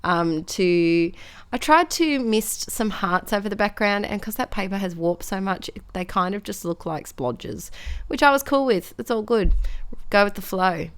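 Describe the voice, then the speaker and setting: A young Australian woman with a slightly croaky voice, chatting casually in a rambling, self-deprecating way as she narrates her scrapbooking. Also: mid-range voice, expressive, unhurried. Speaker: scrapbooking vlogger.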